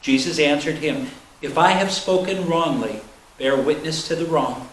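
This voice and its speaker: Higher-voiced man reading scripture, echoey room. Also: normal speed, fairly bright tone. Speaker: scripture reader at a church service. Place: large indoor church space. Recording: echoey room with faint noise.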